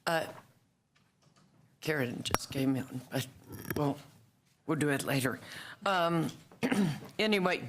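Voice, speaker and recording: A woman from the American South with a raspy voice, chairing a public board meeting in a slow, halting way. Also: sits low, expressive, background noise. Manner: slow and halting, with many fillers.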